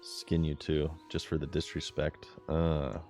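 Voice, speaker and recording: Very deep-voiced giggly male streamer. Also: flat delivery, measured pace, darkish tone, faint music behind. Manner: giggly, laid-back, chatting to viewers while playing. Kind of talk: casual game-streaming commentary.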